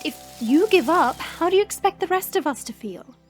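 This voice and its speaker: A woman playing a proud, teasing but caring fantasy woman in an audio drama, with a smug, drawn-out delivery. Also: very high voice, expressive, soft background music. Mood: soft encouraging. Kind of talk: audio roleplay acting.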